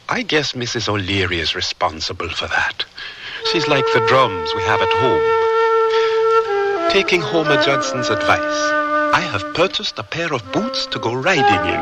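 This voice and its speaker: A polite young african newcomer in a radio play, earnest n careful, lively pitch over loud music. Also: male voice, rough recording. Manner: hesitant, polite, measured. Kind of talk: radio drama letter narration. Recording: music behind the voice.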